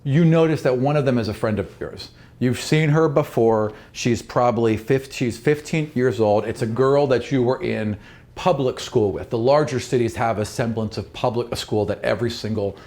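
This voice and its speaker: A man's voice: medium pitch, moderate pace, a little mellow. A man working as game master, narrating a fantasy tabletop game in a casual, conversational and sometimes profane way.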